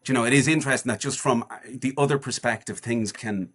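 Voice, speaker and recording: A man's voice: medium pitch, fast, breathy edge. A man in his forties, a guest on a podcast, chatting casually in a rambling way with many restarts and fillers. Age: 40s. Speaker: guest, charity walker.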